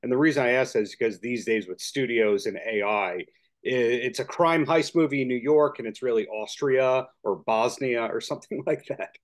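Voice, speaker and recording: Chatty podcast host. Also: male voice, medium pitch, normal speed. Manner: chatty, jokey, long rambling questions. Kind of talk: casual interview over video call. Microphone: video call.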